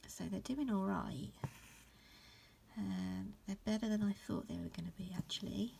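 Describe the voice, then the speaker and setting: A woman in her thirties, sounding croaky from hay fever, talks through an embroidery tutorial in a relaxed, rambling way, with plenty of ums. Her voice has a gravelly edge, and a faint hiss sits behind her. She is recording in an upstairs room at home. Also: mid-range voice, moderate pace. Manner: relaxed, many fillers and restarts. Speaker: embroidery teacher. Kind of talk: casual stitch-along tutorial.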